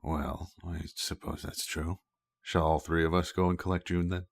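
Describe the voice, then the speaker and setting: A man voicing a composed, formal man in a game fan dub, speaking in a measured way. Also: low-pitched, little pitch movement, lightly acted.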